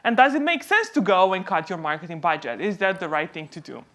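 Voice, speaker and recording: Low-pitched woman presenting. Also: big pitch swings, fast talker, fairly bright tone. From Romania. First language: Romanian. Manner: explanatory, posing questions then answering them. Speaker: company co-founder presenting at a conference. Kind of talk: conference presentation.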